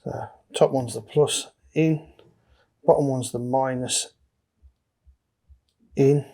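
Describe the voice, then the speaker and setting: A man's voice: medium pitch, fairly even intonation, slow, dark tone. Matter-of-fact man talking through hands-on work. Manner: matter-of-fact, talking through each step while working. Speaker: DIY electronics presenter. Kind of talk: casual hands-on tutorial commentary. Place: indoor electronics workbench.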